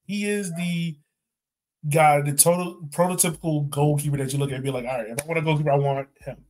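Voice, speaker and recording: Higher-pitched man hosting a podcast. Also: fairly even intonation, fast, a little mellow. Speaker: podcast host. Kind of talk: casual sports podcast chat.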